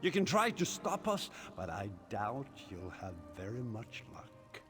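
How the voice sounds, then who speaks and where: A man's voice: medium pitch, big pitch swings, a bit bright, faint music behind. An actor playing a smug, gloating usurper villain in a fantasy game, mocking and theatrical, drawing out his words. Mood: cold, triumphant. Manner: mocking, drawn-out, gloating delivery.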